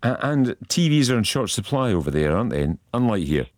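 Lively male radio host. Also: fairly low pitch, a little fast, no room sound, studio quality. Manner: chatty, teasing, laughs easily. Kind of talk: casual radio chat.

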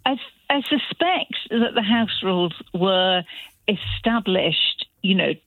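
A woman's voice: mid-range voice, expressive, slow, no room sound. A middle-aged woman, a mother of grown children, calling in to chat on a radio phone-in, talking thoughtfully with many restarts. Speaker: caller. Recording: tinny phone line.

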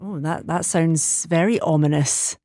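A woman's voice: sits low, a little slow, dark tone, no echo. A woman presenting a radio program and interviewing guests, asking questions with hesitant restarts.

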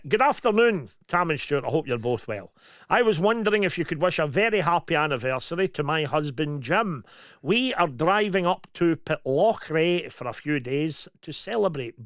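A Scottish man co-hosting a radio show, chatting easily and joking as he reads out listener dedications. His voice is smooth and clear, slightly higher than most men's. The studio sound is dry and close. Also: fairly bright tone, no room sound. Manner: chatty, jokey, many fillers. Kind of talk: casual radio chat, reading listener messages. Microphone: studio mic.

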